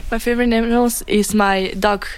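A woman's voice: fairly high pitch, fairly even intonation, fairly quick, clean tone. A young woman whose first language is Macedonian, answering interview questions in English in a chatty, enthusiastic way.

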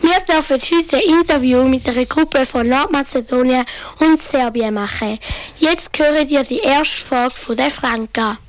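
A woman's voice: high-pitched, moderate pace. A young woman hosting a student radio show in a friendly, upbeat way. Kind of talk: casual hosting.

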